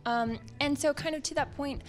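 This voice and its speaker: A woman's voice: high voice, normal speed, faint music behind. A woman interviewer asking prepared questions in an upbeat, eager way at an indoor press event, speaking into a handheld mic.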